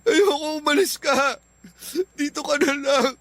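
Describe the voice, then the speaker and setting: A man's voice: extremely high pitch, a little slow, a bit bright, no echo. A man playing a jealous, clingy and desperate boyfriend in a radio drama, insistent in manner. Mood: desperate, tearful. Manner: insistent, pleading. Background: music.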